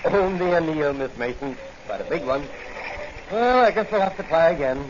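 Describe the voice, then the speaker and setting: Man acting earnest professor, highish voice, old radio. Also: animated, moderate pace, lightly acted. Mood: genial, businesslike. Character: learned, earnest male professor of marine life. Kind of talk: 1940s radio serial acting. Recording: muffled, hissy old radio recording.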